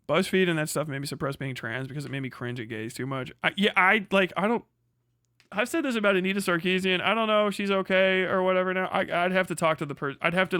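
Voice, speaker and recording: A man's voice: fairly high pitch, pushed and bright, smooth, dry recording, clean studio sound. A young man hosting a livestream, reading chat aloud and rambling in casual, profane commentary with frequent restarts. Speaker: livestream host.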